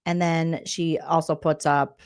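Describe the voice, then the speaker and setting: A woman's voice: low-pitched, flat delivery, measured pace, clear, resonant tone. A woman comedian recapping a reality show, mocking the players and slipping into exaggerated voices. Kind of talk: comedic recap with quoted impressions.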